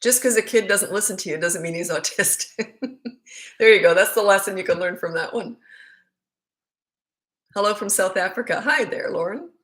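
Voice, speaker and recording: A woman's voice: medium pitch, moderate pace, long pauses, fairly bright tone. A middle-aged woman with a Canadian accent, a parenting coach answering viewer questions live in a frank, chatty, rambling way.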